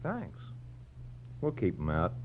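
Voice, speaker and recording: A man acting a gruff, shrewd homicide lieutenant in a 1940s radio drama, with terse deadpan replies. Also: deep voice, takes it slowly, slight background noise, heavily acted. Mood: dry, knowing. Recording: muffled, humming 1940s radio recording.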